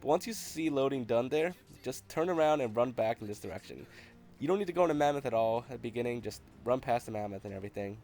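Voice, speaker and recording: A man's voice: mid-range voice, lively intonation, fast, darkish tone. A man narrating a video game walkthrough in a casual, conversational, explanatory way.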